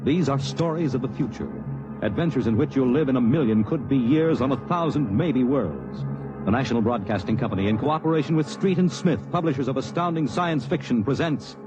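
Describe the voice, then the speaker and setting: A man's voice: mid-range voice, lively intonation, fairly quick, poor audio. A man announcing and narrating in a dramatic, declamatory 1950s radio style. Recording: old radio broadcast, loud music.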